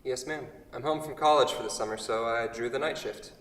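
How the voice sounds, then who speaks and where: A man acts out a young college student in a stage play, gently protective and unforced. The mid-pitched voice comes from well away in a big echoing hall. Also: fairly quick, close to an everyday voice. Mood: polite. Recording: distant, echoey hall.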